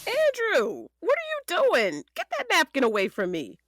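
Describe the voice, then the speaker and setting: A woman playing a sharp, determined defense attorney in an audio drama, sarcastic yet caring, with pointed theatrical delivery. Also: very high voice, wildly swooping pitch, normal speed, exaggerated voice. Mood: playfully annoyed.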